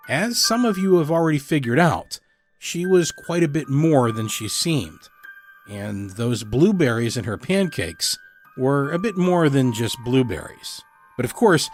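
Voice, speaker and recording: A man with a medium-pitched voice reads a scripted podcast introduction as the host. Music plays faintly beneath him.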